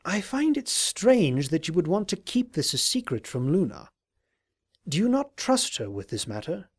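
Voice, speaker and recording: A man voicing a scheming, falsely sympathetic unicorn advisor in an audio drama, speaking in a formal, deliberate, courtly manner. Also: high-pitched, big pitch swings, husky, heavily acted. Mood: probing, courteous. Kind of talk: dramatized audio fiction reading.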